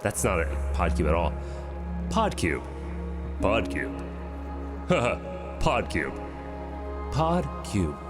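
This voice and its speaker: A man playing an odd, obsessive rambler in absurdist comedy audio, halting and trying out different inflections. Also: low voice, huge pitch swings, over music, full character voice. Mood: absorbed, experimenting.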